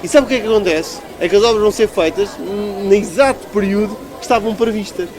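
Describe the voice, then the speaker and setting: A man's voice: high voice, fast talker, crowd noise. A man with a European Portuguese accent giving a conversational political interview as a local politician, arguing emphatically and repeating phrases for stress.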